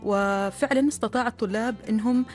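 Omani woman radio co-host, quick casual chat, soft music underneath. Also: a little high. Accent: Omani Arabic.

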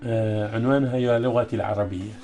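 Man, poet guest, mellow tone, measured recitation, soft background music. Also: lowish voice, steady tone. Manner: hesitant in talk, chanting cadence when reciting. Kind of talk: interview with slow, measured poem recitation.

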